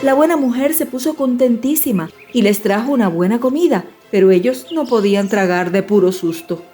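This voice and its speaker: Lady reading a fairy tale audiobook, expressive storyteller, bit high voice, faint music under it. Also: brisk. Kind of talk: dramatized fairy-tale narration.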